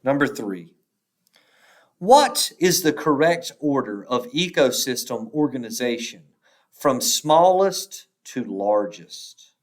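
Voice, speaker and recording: A male teacher reads a test aloud at a slow, careful pace. His pitch moves up and down noticeably as he sets out each question and option, pausing between them. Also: lively intonation. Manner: deliberate, instructional, pausing between answer choices.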